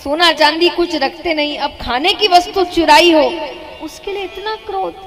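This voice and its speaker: A woman with an Indian accent voicing a wry, placating male sage in a devotional tale, questioning and gently teasing. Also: extremely high pitch, normal speed, soft background music, pitched above the performer's own voice. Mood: wry reasoning.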